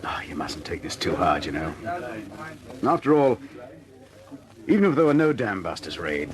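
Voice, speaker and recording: A frank, comradely RAF airman who likes his drink. His pitch swings widely in the manner of old radio drama. A crowd murmurs clearly behind him on the old recording. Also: sing-song, normal speed. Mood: grave, firm.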